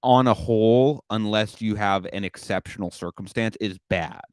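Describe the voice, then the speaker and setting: A man's voice: on the low side, moderate pace, darkish tone, clean tone, no room sound, studio quality. A young man hosting a comedy podcast, rambling casually with lots of filler words and self-deprecating asides. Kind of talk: casual chat.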